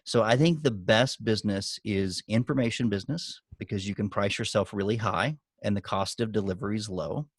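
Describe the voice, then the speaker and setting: A man's voice: fairly low pitch, fairly quick, hardly any pauses, slightly rough, dry recording. Emphatic man coaching, dry close sound. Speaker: guest business coach and author. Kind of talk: giving marketing advice on a group video call. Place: online video call.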